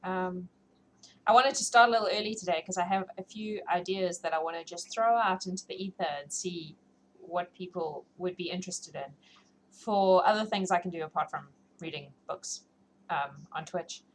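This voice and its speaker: A woman's voice: fairly low pitch, moderate pace. A woman hosting a livestream, chatting casually and informally to her viewers in a rambling, self-deprecating way. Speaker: livestream host who reads books aloud. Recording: clearly noisy livestream audio.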